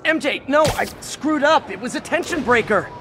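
Flustered wisecracking young hero in a game, noisy behind. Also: male voice, very high-pitched, animated, moderate pace. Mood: sheepish. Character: joking young male superhero.

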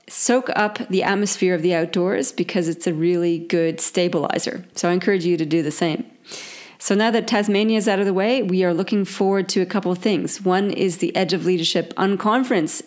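A woman in her forties with a croaky voice from a cold, hosting her own leadership podcast in a bubbly, upbeat way. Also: on the low side, a little mellow. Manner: bubbly, upbeat, laughs often. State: hoarse from a cold. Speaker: podcast host, leadership coach. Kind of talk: casual solo podcast talk.

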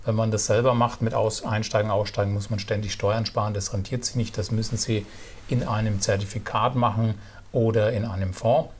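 A middle-aged man giving casual stock market commentary to camera, relaxed and halting, with many fillers and restarts. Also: on the low side. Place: indoor studio with virtual backdrop.

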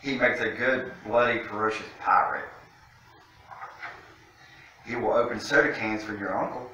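Middle-aged male storytime reader, bright forceful tone, recorded well away from the mic. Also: fairly low pitch, moderate pace, highs cut off. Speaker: guest storytime reader, city official. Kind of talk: reading a picture book aloud to children. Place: indoor room, seated by a fireplace mantel. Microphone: distant camera microphone. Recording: very echoey room, distant mic, faint noise.

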